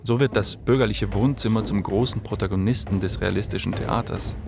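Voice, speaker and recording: A man reading scripted documentary narration in a measured, explanatory way. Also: fairly low pitch, steady tone, creaky, crowd noise.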